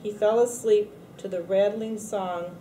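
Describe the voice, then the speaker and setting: A woman reading a picture book aloud from the text, pausing between phrases and lifting her voice for dialogue. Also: medium pitch, steady tone, dark tone, recorded from a distance. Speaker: storybook reader at a read-aloud event. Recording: echoey room, faint background noise.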